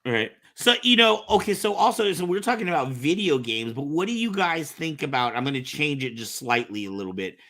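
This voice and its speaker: Bright-voiced man hosting a casual chat. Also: on the high side, sing-song, fairly quick, hardly any pauses. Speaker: podcast host.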